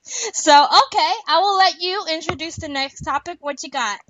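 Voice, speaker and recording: A woman's voice: extremely high pitch, normal speed, muffled recording, a little hiss or hum. A woman co-hosting a casual fan podcast, talking in a playful, slangy way. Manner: animated fan talk with slangy, playful wording. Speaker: podcast host. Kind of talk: casual podcast chat about a TV show.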